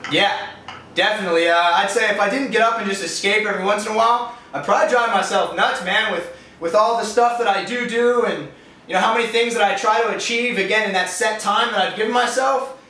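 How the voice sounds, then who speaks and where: Male, twenties, Californian accent, rambling casual interviewee, high voice, heard from a distance in a strongly reverberant space. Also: brisk, bright, forceful tone. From California, USA. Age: 20s. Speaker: interviewee, fitness business owner. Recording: echoey, distant microphone.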